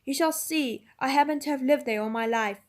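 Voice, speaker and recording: A woman's voice: high-pitched, a little fast, natural voice. A woman voicing a gloomy, stubborn male marsh-wiggle who talks stoutly and short of breath.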